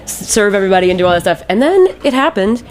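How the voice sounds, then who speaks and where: A woman's voice: medium pitch, sing-song, fast talker. A woman chatting casually as a radio guest, telling stories in colloquial phrasing. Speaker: radio guest, ice cream maker. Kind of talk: casual chat.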